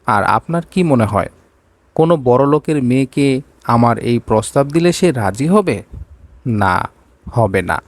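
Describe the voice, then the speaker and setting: A man's voice: mid-range voice, expressive, a little slow, long pauses, no room sound, close to an everyday voice. Man playing a cold, domineering rich boss. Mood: cold, irritated. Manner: curt, commanding, slips into English.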